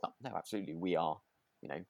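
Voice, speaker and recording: A man with a low voice talks casually as a podcast co-host. He feels his way through sentences, rambling and restarting as he reviews a drama. The sound is dry, with very little room. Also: very fast, gravelly, darkish tone, no echo. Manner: hesitant, rambling, self-correcting.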